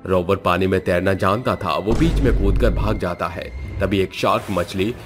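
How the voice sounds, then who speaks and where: A male narrator reads a spooky cartoon story in a low, steady voice. His telling is dramatic, with faint background music. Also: normal speed.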